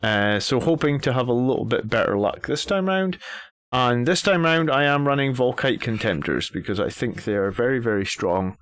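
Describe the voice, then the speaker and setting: A man's voice: medium pitch, moderate pace, fairly bright tone, smooth, dry recording. A man hosting a live stream in an easygoing, chatty way. He greets viewers one by one and talks with plenty of ums. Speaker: host of a live army list stream. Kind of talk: casual live-stream chat.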